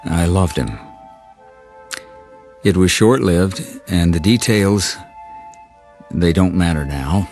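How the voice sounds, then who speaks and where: An actor playing a gentle man who reads a letter aloud in an audio drama, in a measured way over theme music. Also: low voice. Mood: solemn, tender. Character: gentle man reading a dead woman's confession aloud. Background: sentimental theme music.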